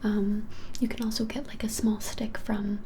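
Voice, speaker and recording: A woman in her twenties whispering softly and without hurry in an ASMR roleplay as a shop keeper, often hesitating. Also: medium pitch, little pitch movement, slight background noise. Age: 20s. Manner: soft whispering, hesitant and unhurried. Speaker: ASMR creator playing a witch shop keeper. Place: small plain-walled room, indoors.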